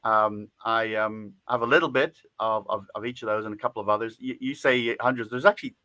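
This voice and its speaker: A man's voice: lowish voice, fairly even intonation, a little fast, bright, forceful tone, dry recording. A man being interviewed as an author, speaking casually with lots of fillers, restarts and little laughs. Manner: chatty, many fillers and restarts, laughs often. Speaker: guest author.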